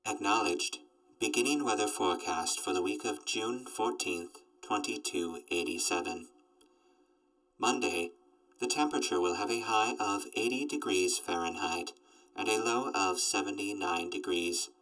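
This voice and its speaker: A man's voice: on the low side, measured pace, fairly bright tone, far from the mic, soft background music, poor audio. A man playing an emotionless robot that delivers formal, stilted forecasts in an audio drama. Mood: flat, mechanical. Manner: stilted, mechanical, formal announcements. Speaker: robot companion reading forecasts. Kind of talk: post-apocalyptic audio drama.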